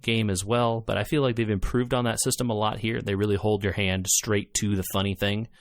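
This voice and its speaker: Low-voiced man chatting about games. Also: flat delivery, fairly quick, dry recording, clean studio sound. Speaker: podcast co-host reviewing games. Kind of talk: casual chat about video games.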